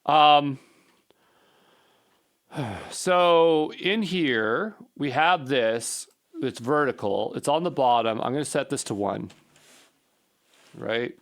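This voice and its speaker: A man's voice: medium pitch, slow pace, long pauses, a bit bright. Male programmer, mid-range voice, casual think-aloud screencast delivery, informal and self-muttering. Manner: informal, muttering to himself while troubleshooting. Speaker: programmer recording a coding session.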